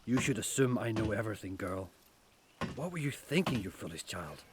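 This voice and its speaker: An actor playing a stern, protective middle-aged headmaster in an audio drama, scolding and commanding, with a Northern Irish accent. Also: male voice, lowish voice, very animated, moderate pace, very noisy. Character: stern, protective headmaster guarding his school after apocalypse.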